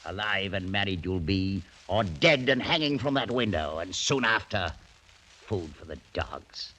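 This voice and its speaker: A man's voice: sits low, expressive, a little slow, bright, forceful tone, heavily acted. Imperious old nobleman, wildly swooping delivery. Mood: cold threatening. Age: older. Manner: theatrical, mocking, commanding. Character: ruthless, imperious ageing French nobleman, menacing uncle. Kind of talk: old-time radio drama acting. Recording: noisy vintage radio drama recording.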